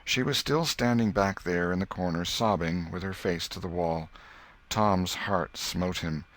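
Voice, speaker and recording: A man reading a literary story as an audiobook narrator, in a measured storyteller manner. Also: low voice, a bit bright.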